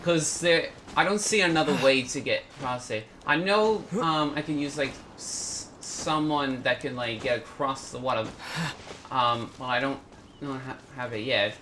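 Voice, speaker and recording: A man hosting a gaming video, giving casual, halting commentary as he thinks aloud. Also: mid-range voice, background noise.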